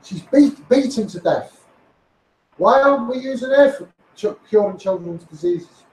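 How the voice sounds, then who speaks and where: Male Scouse campaigner, high voice, megaphone; emphatic repetitive rant, distant from the mic with echo. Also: expressive, unhurried. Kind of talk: impassioned monologue rant. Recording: echoey, distant, noisy megaphone recording.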